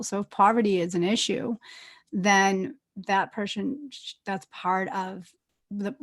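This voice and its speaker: A woman with a strong Boston accent hosts a casual interview. She is chatty and laughs easily, and her voice sits at an ordinary middle pitch. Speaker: interview host.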